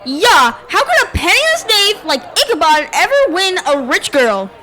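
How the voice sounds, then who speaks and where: Girl as an indignant, squeaky-high village gossip. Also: sing-song, normal speed, pushed and bright, exaggerated voice. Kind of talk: school radio play acting. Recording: crowd chatter behind.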